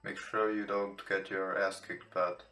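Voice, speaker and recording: A man who is not a native English speaker, reading a visual novel aloud with casual commentary in a somewhat halting way. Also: low voice, little pitch movement, sharp tone. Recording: roomy, echoing home recording. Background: game music.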